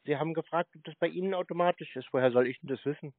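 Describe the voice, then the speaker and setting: A man's voice: a little high, very fast, dry recording. Teasing man with a tinny phone-like sound. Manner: teasing, sarcastic. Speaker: prank call recipient baiting scam callers. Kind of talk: mocking casual phone banter.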